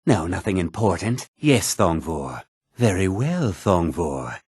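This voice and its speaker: An actor playing a haughty, put-upon fantasy townsman in a video game, husky, theatrical and often sarcastic. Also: low-pitched, big pitch swings, slow pace, a little mellow, no echo, clean studio sound, exaggerated voice. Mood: formal, deferential. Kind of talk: video game character dialogue.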